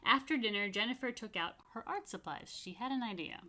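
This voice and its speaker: A woman rabbi reading a picture book aloud to children, expressively stretching key words and adding chatty asides. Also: fairly high pitch. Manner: expressive, dramatic emphasis and drawn-out words. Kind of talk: animated storytime read-aloud with chatty asides.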